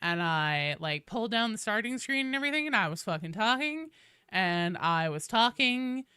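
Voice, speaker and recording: A woman live streaming games, chatting casually with her audience in an informal, animated, profanity-laced way. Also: mid-range voice, normal speed, smooth, no echo, clean studio sound. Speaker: video game live streamer.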